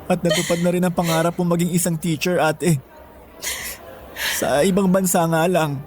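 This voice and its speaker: A man's voice: sits high, little pitch movement, moderate pace, darkish tone, a little hiss or hum, lightly acted. A man playing a devoted, grateful younger brother in a Filipino radio drama, earnest and respectful.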